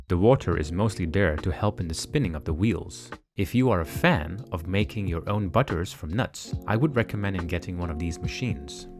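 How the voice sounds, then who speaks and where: A man giving measured, precise step-by-step narration for a home cooking tutorial. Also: low-pitched. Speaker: cooking channel host. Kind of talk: calm instructional cooking voice-over. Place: home kitchen.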